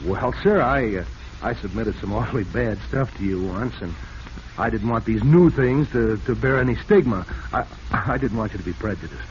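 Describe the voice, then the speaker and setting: An actor playing a scheming, polite and nervous failed author in 1940s radio style, with hesitant, guarded delivery. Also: male voice, on the low side, animated, moderate pace, lightly acted. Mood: deferential. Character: scheming, nervous male publishing-house reader and failed author. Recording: muffled, noisy vintage radio recording.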